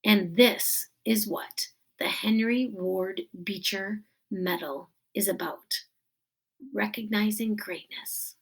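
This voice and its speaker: Woman reading as a slow, solemn male school principal. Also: mid-range voice, steady tone, gravelly, slightly rough, voice pushed down, full character voice, poor audio. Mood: earnest, solemn. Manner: slow, weighty, ceremonial. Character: solemn, moved male school principal giving an award speech.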